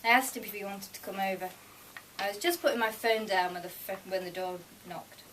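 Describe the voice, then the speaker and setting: Female author for a live story reading, expressive, mid-pitched, picked up from a distance. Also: a little fast. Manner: expressive storytelling, switching between narration and dialogue. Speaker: author reading own fiction. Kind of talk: live fiction reading with acted dialogue. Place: live fiction night, recorded from a distance. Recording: distant mic, noisy room ambience.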